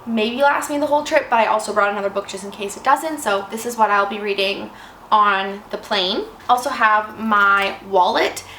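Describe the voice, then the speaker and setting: A woman in her twenties with a slightly raspy voice from a cold, chatting casually and rambling a little. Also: medium pitch, normal speed, pushed and bright. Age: 20s. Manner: chatty, rambling, self-deprecating. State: fighting off a cold. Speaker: vlogger. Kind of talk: casual chat. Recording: echoey room with faint noise.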